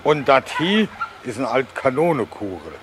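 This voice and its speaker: Man playing an earnest local commentator, mid-range voice, simple deliberate phrasing, radio play, clear background noise. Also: mid-range voice, big pitch swings, natural voice. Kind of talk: radio play acting, naturalistic.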